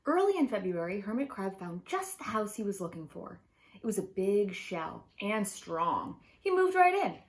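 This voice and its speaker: A woman storyteller reading a children's picture book aloud, expressive and deliberate in her emphasis. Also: medium pitch.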